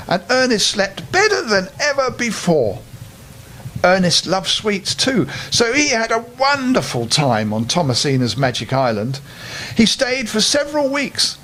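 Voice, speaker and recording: An older man narrates a children's bedtime story in a rather high voice. His intonation is lively and expressive, and he talks straight to the young listeners. Some noise runs in the background. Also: low-quality recording.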